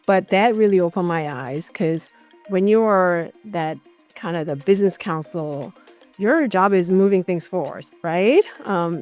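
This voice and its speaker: Middle-aged woman, slightly low voice, reflective. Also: darkish tone. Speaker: interview guest, corporate legal executive. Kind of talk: conversational interview answers.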